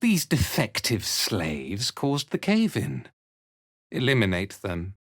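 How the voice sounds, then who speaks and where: An actor playing a cold, zealous cult commander in a fantasy video game, speaking in a measured, theatrical and disdainful way. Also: male voice, on the low side, huge pitch swings, a bit bright, dry recording, studio quality, full character voice. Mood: cold authority. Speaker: villainous military commander.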